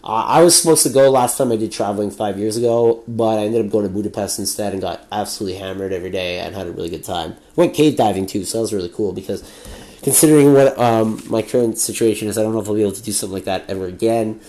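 A man in his twenties from Montreal, hosting a solo podcast in a candid, rambling way, swearing freely and filling pauses, a little sniffly with a cold. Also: lowish voice, warm tone. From Montreal, Canada. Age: 20s. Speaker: podcast host. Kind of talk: casual solo podcast monologue.

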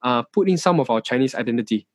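A man's voice: mid-range voice, lively intonation, fast talker, no room sound, clean studio sound. A young man with a Singaporean English accent, talking as an interview guest in a hesitant way, with frequent restarts and fillers. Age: young adult. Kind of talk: casual interview chat.

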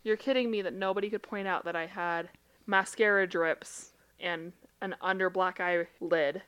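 A woman's voice: on the low side, fairly even intonation, normal speed, a bit bright, clean tone, highs cut off. A woman who is a licensed therapist and a podcast co-host, talking in a blunt, casual way with swearing and frequent laughter. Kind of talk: casual chat.

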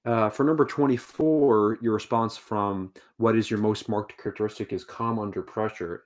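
A man's voice: lowish voice, darkish tone. A man co-hosting a podcast who asks easygoing follow-up questions and often answers with short agreements. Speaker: podcast co-host asking questions. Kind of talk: casual podcast interview.

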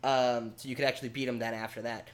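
Brief: male podcast co-host, mid-pitched, rambling and animated, swears casually. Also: fast talker. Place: home basement studio.

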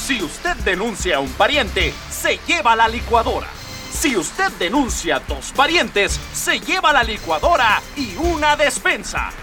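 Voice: medium pitch, big pitch swings, measured pace, music in the background. A performer playing a pushy, over-enthusiastic infomercial announcer in a radio drama parody. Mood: excited, hard-sell. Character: pushy, excitable infomercial announcer of a sinister regime. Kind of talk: parody hard-sell advertisement.

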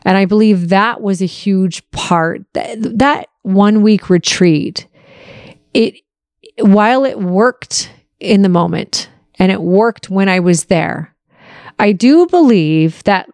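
A woman's voice: mid-range voice, a little mellow, dry recording, clean studio sound. A woman in her early forties talking candidly and casually to her listeners, in an open, confessional and reflective way. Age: early 40s. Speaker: podcast host answering listener questions.

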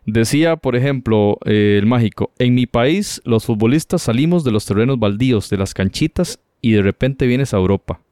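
A man's voice: mid-range voice, lively intonation, moderate pace, few pauses, mellow, no echo, studio quality. A man hosting a conversational talk show, chatting and reading out quotations. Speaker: host.

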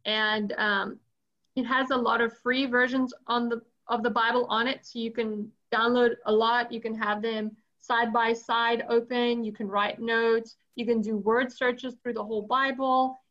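A woman's voice: a little high, little pitch movement, a little slow, fairly bright tone. A woman sharing a tip in a class discussion, informal and a little giggly. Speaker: class participant. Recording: muffled, lo-fi.

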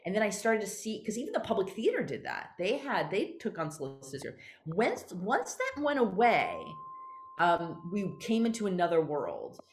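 A woman's voice: mid-range voice, moderate pace, echoey room, a little hiss or hum. A woman playwright being interviewed, talking candidly and animatedly and restarting her sentences often. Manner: animated, acts out quoted voices, many restarts. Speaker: playwright guest.

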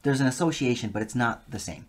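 A man's voice: mid-range voice, fast talker. A man, a guest on an interview show, talking casually and with enthusiasm, laughing easily. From United States. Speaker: guest, polyglot.

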